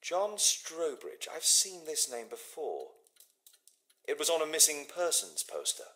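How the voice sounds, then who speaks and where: An actor playing a shrewd, courteous Victorian detective in a video game, formal and deliberate, with a British accent. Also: male voice, on the high side, huge pitch swings, sharp tone, full character voice. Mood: thoughtful, polite. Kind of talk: scripted video game dialogue acting.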